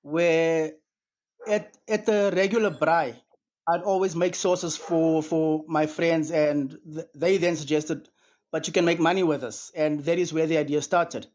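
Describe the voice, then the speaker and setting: A man's voice: a little high, fairly even intonation, a little slow, a little mellow. A South African man answering interview questions as a business co-founder, hesitant and filling gaps with "um" over a call line. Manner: hesitant, many fillers and restarts.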